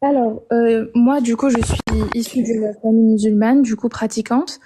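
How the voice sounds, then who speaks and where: A young woman from Algeria calling in to share her story, talking hesitantly with many pauses and restarts. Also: fairly high pitch, steady tone, noisy background. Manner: hesitant, frequent filler sounds and restarts. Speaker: caller. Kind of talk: personal testimony on a call-in show.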